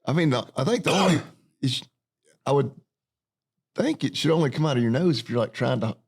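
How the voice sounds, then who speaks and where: A man's voice: mid-range voice, expressive, a little fast, a little mellow, slightly rough. A man and farmer co-hosting a casual podcast, easygoing and rambling as he tells stories. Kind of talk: casual chat.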